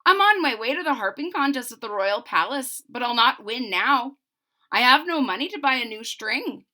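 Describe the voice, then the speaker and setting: A woman voicing a gentle, kind old male harper in a storybook reading, soft-spoken and kindly. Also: sits high, big pitch swings, moderate pace, pitched above the performer's own voice, full character voice. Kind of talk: storybook read-aloud.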